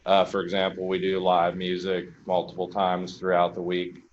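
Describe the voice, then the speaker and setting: A man hosting an arts and healthcare event, introducing his guests in a relaxed, conversational way. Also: low voice, normal speed. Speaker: host of an arts and healthcare program. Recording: dull muffled sound with faint noise.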